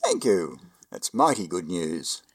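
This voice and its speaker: A man playing a kindly, earnest old man who believes he is Santa, gentle, hesitant and folksy in an old-time radio drama. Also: low-pitched, very animated, slow, full character voice. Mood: earnest, warm. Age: older.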